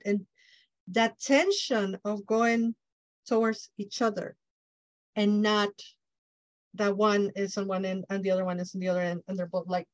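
A woman's voice: medium pitch, no room sound, poor audio. Mexican-accented woman host, dry close sound. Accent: Mexican Spanish. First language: Spanish. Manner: effusive, enthusiastic. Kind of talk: interview chat.

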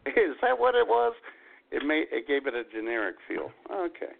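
A man's voice: a little high, wildly swooping pitch, normal speed, gravelly. A man hosting a comedy podcast, chatting casually in a wry, mock-solemn way. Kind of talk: casual joking banter. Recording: thin phone-like band-limited audio.

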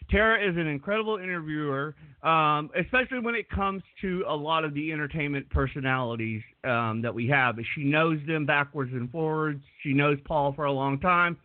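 A man's voice: on the high side, moderate pace, hardly any pauses, dry recording. A man co-hosting and producing a radio talk show, rambling with many fillers. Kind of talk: casual radio chat. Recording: dry phone-like narrow band.

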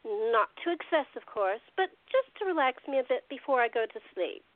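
A woman acts out a playful 1920s socialite hostess for a radio play, her voice pitched high. She is languid and flirtatious, firm underneath, and fond of indulgence. The sound is thin and phone-like. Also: big pitch swings, brisk. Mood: breezy, indulgent. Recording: tinny, phone-like sound with echo.

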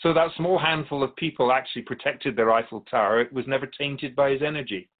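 A man's voice: mid-range voice, narrow, tinny audio, lightly acted. A man playing a wise otherworldly keeper of souls in a radio drama, courteous, philosophical and wry as he tells stories. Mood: wry, storytelling. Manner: measured, philosophical, gently challenging. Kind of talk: radio drama dialogue, storytelling.

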